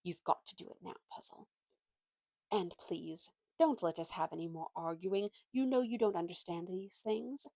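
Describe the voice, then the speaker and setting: A woman's voice: lowish voice, moderate pace, gravelly, mellow, no room sound. A scheming storybook ape, acted out by a woman reader, talks glibly and bossily at his companion. He is persuasive and insistent, with very little room around the voice. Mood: insistent, bossy. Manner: glib, bossy, persuasive. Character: scheming, fast-talking male ape bullying his companion. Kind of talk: storybook read aloud.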